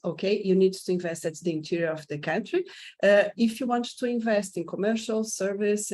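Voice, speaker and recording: A woman's voice: mid-range voice, warm tone. A woman with a Portuguese accent and Portuguese as her first language, explaining investment options hesitantly with many fillers. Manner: hesitant, many uh fillers, non-native phrasing. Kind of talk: informational talk.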